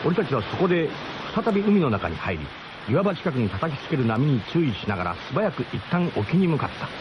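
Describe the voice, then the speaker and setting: A man's voice: mid-range voice, big pitch swings, fairly quick, muffled recording, background noise. A man narrating a radio drama in the first person, with a literary storytelling delivery. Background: music.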